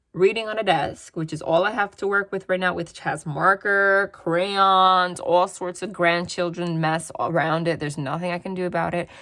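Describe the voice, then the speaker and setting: A woman tarot reader from New Jersey, chatting casually and frankly to her viewers from a desk at her parents' house, with a natural vocal fry and a slightly raspy voice. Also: low voice, fairly bright tone. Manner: chatty and rambling, frank asides.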